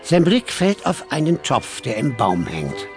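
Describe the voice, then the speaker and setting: A man narrating a children's story from a script in an expressive, lively way with dramatic pauses. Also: mid-range voice, over music.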